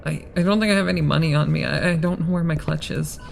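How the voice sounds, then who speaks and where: Woman as a shaken, creaky-voiced young vampire. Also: sits low, fairly even intonation, quick pace, slight background noise. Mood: shaken, confused. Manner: stammering, hesitant, apologetic. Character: confused, frightened young female newborn vampire on spring break. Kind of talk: audio drama acting.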